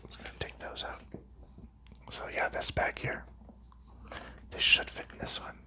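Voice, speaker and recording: Guy whispering asmr, soft breathy whisper, chatty and chill, talks thru every little step. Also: a little slow. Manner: relaxed, chatty, narrating each small step with fillers. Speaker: ASMR channel host. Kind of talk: whispered ASMR board game sleeving and packing.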